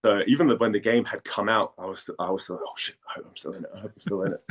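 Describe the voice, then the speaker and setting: A man's voice: fairly low pitch, fairly even intonation, racing. A man from Denmark speaking as a guest in a casual interview, informal, hesitant and self-deprecating. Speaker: interview guest, voice actor and former model.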